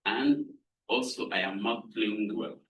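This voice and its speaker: A middle-aged man from Sudan, speaking, gives an earnest, measured lab introduction as a professor, pausing to find words. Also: on the low side, fairly bright tone, slight background noise. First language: Arabic. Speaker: professor presenting his lab. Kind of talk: prepared lab introduction talk. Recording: voice somewhat far from mic.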